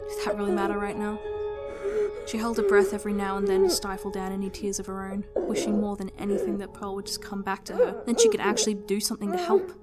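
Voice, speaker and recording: A woman's voice: medium pitch, fast. A woman narrating a story as a dramatic reading, in an emotive, intense manner. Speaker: narrator and writer. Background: prominent music.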